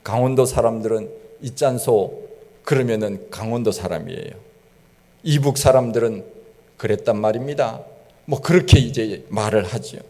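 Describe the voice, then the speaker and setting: A man's voice: medium pitch, normal speed, mellow. A middle-aged male pastor from Gangwon Province preaching a sermon in a folksy storytelling way, imitating regional dialect phrases. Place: church sanctuary. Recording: long church hall reverb.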